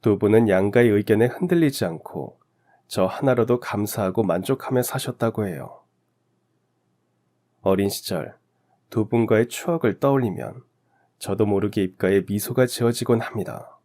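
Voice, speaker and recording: A man's voice: lowish voice, normal speed, mellow. A man narrating a scripted first-person life story as a radio story narrator.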